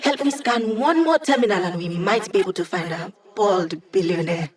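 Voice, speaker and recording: A woman's voice: mid-range voice, natural voice. A woman playing a wry, teasing young hacker. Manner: wry, teasing, quick quips. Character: witty young female hacker, playful and sly. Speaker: hacker support character. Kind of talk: video game voice lines.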